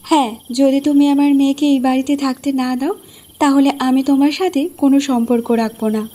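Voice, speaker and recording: A woman narrating a cartoon story and voicing a mother in an earnest, gentle way. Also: high-pitched, steady tone, a little fast. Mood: tender.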